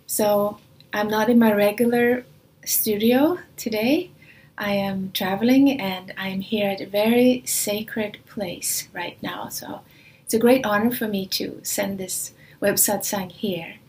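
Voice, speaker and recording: A woman whose native language is Swedish, giving a spiritual talk in a soft manner that often drops near a whisper, speaking away from her usual studio. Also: mid-range voice, fairly even intonation, takes it slowly. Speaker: spiritual teacher.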